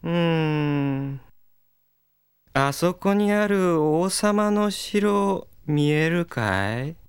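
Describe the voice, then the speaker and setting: A man's voice: on the high side, animated, extremely slow, smooth, full character voice. A man playing a listless, resigned townsman in an audio drama, drawling and indifferent. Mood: weary, indifferent. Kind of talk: Japanese audio drama character acting.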